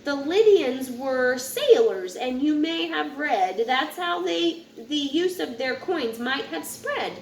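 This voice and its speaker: A woman reading a rhyming children's picture book aloud in an expressive, sing-song way, occasionally stumbling over a word. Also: sits high, slow, hardly any pauses. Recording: roomy echo with faint background noise.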